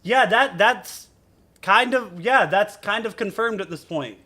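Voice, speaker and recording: A man giving casual live game commentary as a streamer, thinking aloud in a halting, musing way. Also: sits high, sharp tone.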